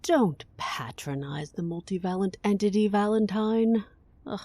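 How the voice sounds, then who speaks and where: A woman playing a cool, imperious, businesslike client in an audio drama, haughty and deadpan, with a breathy, husky voice. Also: medium pitch, unhurried, no echo. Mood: haughty explaining.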